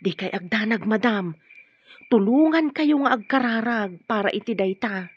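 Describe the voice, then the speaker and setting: Natayag ti timekna a katulongan a babai. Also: female voice, fairly high pitch, lively intonation, normal speed, husky. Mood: soothing. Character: deferential, concerned female household helper, plain-spoken and curious. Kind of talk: Ilocano radio drama acting. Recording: muffled radio drama recording, faint hiss.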